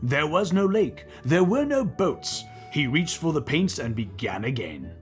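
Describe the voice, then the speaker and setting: A man reading a children's story aloud in an animated, expressive way, with playful banter as a co-host. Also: on the high side, measured pace, soft background music. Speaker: children's book reader and comedy co-host.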